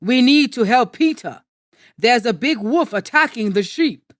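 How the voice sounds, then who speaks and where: A woman's voice: on the high side, expressive, measured pace, no room sound, studio quality. A woman voicing earnest, easily alarmed village men in a storybook, calling out with urgent emphasis.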